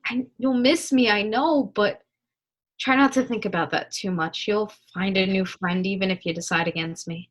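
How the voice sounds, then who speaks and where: A woman's voice: mid-range voice, normal speed, close to an everyday voice. A young woman acting an ardent, impatient lover in a stage melodrama, declaiming with passion. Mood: resolute. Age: young adult. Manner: declamatory, impassioned. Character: ardent, impatient lover, eloquently scolding and pleading. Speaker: student actor. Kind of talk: stage acting in a one-act period melodrama.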